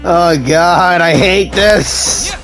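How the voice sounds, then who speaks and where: A woman's voice: fairly low pitch, extremely slow, fairly bright tone, low-quality recording. A woman streaming a game and giving casual reactions as she plays. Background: game music.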